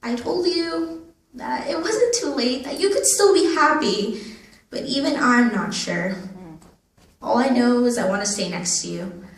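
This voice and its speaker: A young woman performing spoken-word poetry in an earnest, dramatic manner with drawn-out phrases. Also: fairly high pitch, lively intonation. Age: young adult. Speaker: poet. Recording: echoey room, distant microphone.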